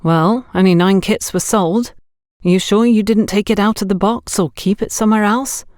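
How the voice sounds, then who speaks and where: A woman's voice: medium pitch, moderate pace, a little mellow, dry recording, clean studio sound, pitched above the performer's own voice, natural voice. Woman acting a stern, blaming supervisor. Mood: challenging. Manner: scolding, pointed.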